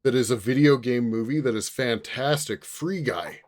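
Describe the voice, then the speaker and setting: Man, middle-aged, average pitch, wry, dry, casual podcast co-host chat. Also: normal speed.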